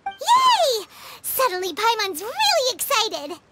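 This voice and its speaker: Tiny, very high-pitched fairy girl. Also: huge pitch swings, slow, heavy background noise, exaggerated voice. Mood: excited. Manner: bubbly, squeaky, refers to herself by name. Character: small excitable childlike fairy girl companion. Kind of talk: anime game voice acting.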